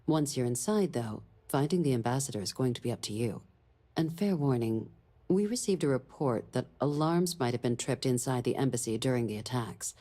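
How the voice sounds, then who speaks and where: Game role: composed female official, very deep voice, formal, dryly wry briefings. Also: expressive, normal speed, warm tone. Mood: businesslike, firm. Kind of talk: scripted video game dialogue.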